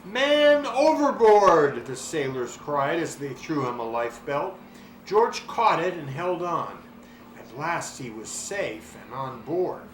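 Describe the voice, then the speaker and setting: A man reading a children's storybook aloud with expressive, dramatic delivery and drawn-out words. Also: medium pitch. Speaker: story reader. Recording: roomy echo, clear background noise.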